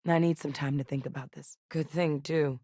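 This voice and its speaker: Composed military scientist, female, for a sci-fi game: lowish voice, serious and measured, dry close recording. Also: fairly even intonation, dark tone, dry recording. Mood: guarded, wry. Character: composed, duty-driven adult woman soldier-scientist in space. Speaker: video game voice actress. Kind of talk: game character dialogue lines.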